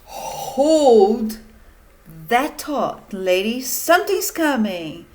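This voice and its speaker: A woman's voice: a little high, big pitch swings, extremely slow, a little mellow, full character voice. A woman voicing a brash male TV news reporter in a children's storybook, with an emphatic announcer manner. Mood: excited, announcing. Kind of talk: live news report in a read-aloud storybook.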